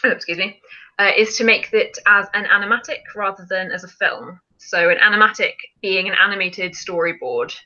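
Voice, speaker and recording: A woman's voice: mid-range voice, a little fast, bright, forceful tone, dull audio. A woman hosting a casual live stream, chatting and explaining things in an informal way. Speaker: film challenge live stream host.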